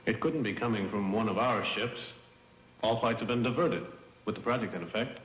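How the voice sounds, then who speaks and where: An actor playing a serious, formal spaceflight officer in a dubbed vintage science fiction film. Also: male voice, lowish voice, brisk, lightly acted. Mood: measured. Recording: echoey, muffled old film soundtrack.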